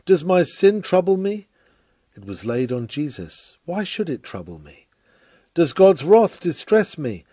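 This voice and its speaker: A man reading a scripted sermon aloud in a solemn, measured, oratorical manner. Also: fairly high pitch, sing-song, warm tone, husky, no echo, clean studio sound. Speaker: sermon reader.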